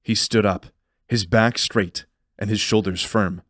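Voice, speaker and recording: Male narrator, lowish pitch, brooding and serious dramatic fantasy storytelling, close dry recording. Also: steady tone, measured pace, fairly bright tone, dry recording.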